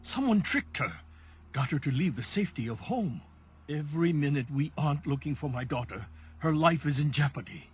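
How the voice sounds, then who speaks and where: A desperate middle-aged father in a game drama, with a fairly high, husky voice. His strained, insistent delivery swings widely in pitch. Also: lively intonation, moderate pace. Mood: distraught, insistent. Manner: urgent, insistent, agitated. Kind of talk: dramatic video game voice acting.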